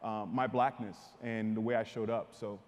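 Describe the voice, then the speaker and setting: A man's voice: on the low side, little pitch movement, normal speed, darkish tone, slight background noise. A man from the Dominican Republic speaking earnestly as a panelist at a live panel discussion. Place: large hall, live audience. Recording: reverberant hall.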